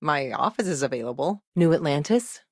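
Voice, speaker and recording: An actress playing a busy, dry-witted woman lawyer in a video game, dogged but friendly, with a wry, conversational and measured delivery. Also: sits low, lively intonation, dry recording. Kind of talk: game dialogue lines, naturalistic acting.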